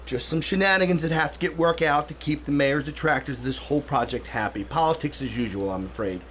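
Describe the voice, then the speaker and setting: Male actor as smooth planning bureaucrat, period radio drama. Slightly high voice, measured, placating, light background noise. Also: fairly bright tone, lightly acted. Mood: confiding, placating.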